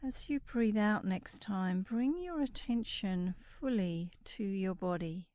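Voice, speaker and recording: A woman leading a guided sleep meditation in a soothing, unhurried manner, with breathy endings to her phrases. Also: mid-range voice, animated, darkish tone, no room sound.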